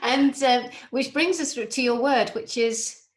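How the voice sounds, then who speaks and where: A woman hosting an interview, asking questions in an unhurried, curious way. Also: fairly high pitch. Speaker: host. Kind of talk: interview conversation.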